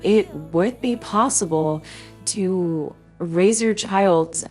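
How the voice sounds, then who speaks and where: A woman playing a calm, persuasive mother of a teenager in an amateur voiced roleplay, with a gentle, explanatory manner. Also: fairly low pitch, extremely slow. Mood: coaxing. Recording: music behind the voice.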